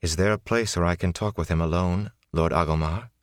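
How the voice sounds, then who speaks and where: Brief: grave female sorceress, read by a male narrator, low and restrained, dry sound. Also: brisk, no echo, natural voice. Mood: grim. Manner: grim and controlled. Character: grave, commanding sorceress woman.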